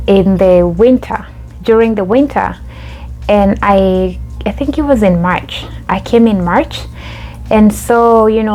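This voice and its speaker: A woman's voice: medium pitch, a little mellow. A young adult woman from Kenya hosting her own vlog, telling a story in a chatty way with lots of fillers and restarts. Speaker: YouTube host.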